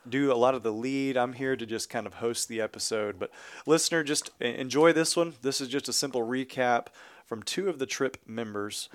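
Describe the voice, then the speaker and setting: A man's voice: mid-range voice, normal speed, dry recording. Easygoing man hosting a podcast. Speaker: podcast host.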